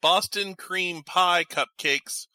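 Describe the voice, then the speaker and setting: A man's voice: high-pitched, slow, bright, forceful tone, dry recording. A man co-hosting a casual group show, reading out choices and chatting between them. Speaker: co-host reading out debate choices.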